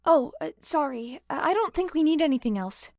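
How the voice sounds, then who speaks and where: A woman acting a gentle, hesitant, encouraging cafe customer in a fantasy audio drama. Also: sits high, lively intonation, a little fast, dry recording, studio quality. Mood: awkward, kind. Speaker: voice actor in a fiction podcast.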